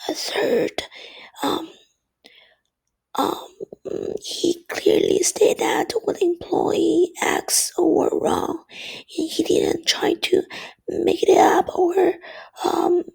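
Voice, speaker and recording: A woman with a bad cold gives a class presentation, her voice hoarse and almost gone. She mostly whispers in a gravelly, scratchy way and talks slowly, pausing on fillers. What voice she has left sits high. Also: darkish tone. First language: Chinese. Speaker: student presenter.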